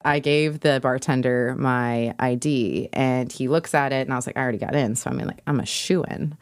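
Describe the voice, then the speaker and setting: A woman guest on a podcast tells a casual story in a chatty, conversational way. Her voice sits fairly low, and the sound is dry with little room around it. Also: normal speed, clean tone, no echo. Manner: chatty, peppered with "like", laughing as she talks.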